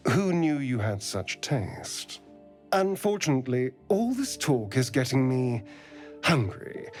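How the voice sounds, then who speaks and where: An actor playing a flirtatious, refined vampire man in a video game, with a theatrical, teasing drawl and breathy asides. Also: medium pitch. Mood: playful, teasing. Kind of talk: video game companion dialogue.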